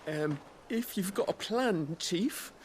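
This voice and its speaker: An actor playing a nervous gang underling in a game cutscene, hesitant and pleading. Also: male voice, high-pitched, animated, takes it slowly, noisy background. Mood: anxious. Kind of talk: acted game cutscene dialogue.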